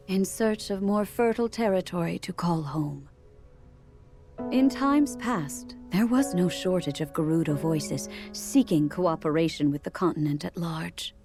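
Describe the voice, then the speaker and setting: A woman playing a composed, regal queen in a fantasy game, speaking in a measured, formal way. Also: on the low side, music in the background. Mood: grave. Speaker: video game character voice. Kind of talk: dramatic game cutscene acting.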